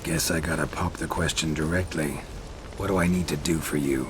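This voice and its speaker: An actor playing a gruff, weary mercenary monster hunter in a video game, laconic and deadpan, with a gravelly voice. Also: male voice, extremely low pitch, fairly quick, background noise. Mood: dry, businesslike. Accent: North American. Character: gruff, weary male monster-hunting mercenary, dry and sardonic. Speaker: player character.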